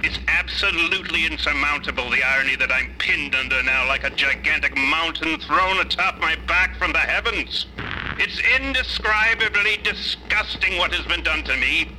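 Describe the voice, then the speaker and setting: A man's voice: on the high side, normal speed, bright, forceful tone, a little hiss or hum, voice pushed up, rough recording. A man voicing the bitter, grandiose ghost of a disgraced male scholar in a tabletop game. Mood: indignant. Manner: grandiose, theatrical, indignant wording. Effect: voice through a ghost-box speaker.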